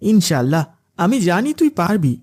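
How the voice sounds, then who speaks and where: A man voicing a diligent, pious schoolboy friend in a cartoon, talking in an earnest, lively way. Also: high voice, normal speed. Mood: friendly, curious. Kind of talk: cartoon dialogue.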